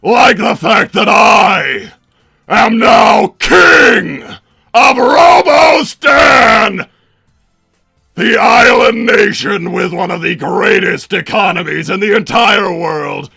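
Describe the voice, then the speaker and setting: A man's voice: high voice, vocal fry, pushed and bright, slightly rough, no room sound, clean studio sound, exaggerated voice. A man playing a boastful, gloating mad-doctor king in a theatrical cartoon roleplay, proclaiming things with bombast. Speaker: self-crowned king and father.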